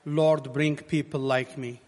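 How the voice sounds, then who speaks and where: A man gives a sermon testimony in halting English, in short phrases left for an interpreter. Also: mid-range voice, level tone, darkish tone. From Morocco. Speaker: guest preacher giving testimony. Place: large reverberant hall, addressing a congregation. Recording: long hall reverb.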